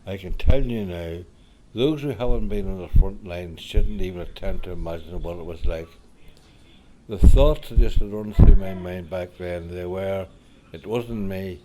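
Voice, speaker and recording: A man playing an elderly war veteran grandfather in a radio drama, sombre and bitter, speaking in a grave, reflective, deliberate way. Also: low voice, background noise. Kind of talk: amateur radio drama monologue.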